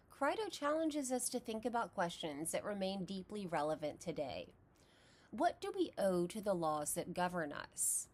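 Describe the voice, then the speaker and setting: A woman with a Texas accent, narrating a scripted philosophy lesson in a measured, explanatory way. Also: medium pitch. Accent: Texan. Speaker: philosophy lecturer.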